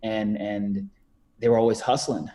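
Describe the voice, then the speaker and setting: A man's voice: lowish voice, little pitch movement, a little slow, dark tone. A man in his twenties, a podcast guest talking casually over a video call, rambling with many fillers and repeated words. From Canada. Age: 20s.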